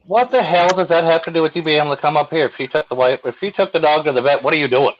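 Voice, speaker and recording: A man's voice: a little high, very fast. A man joking in a casual group chat, often laughing at his own lines. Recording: dull lo-fi line with faint hiss.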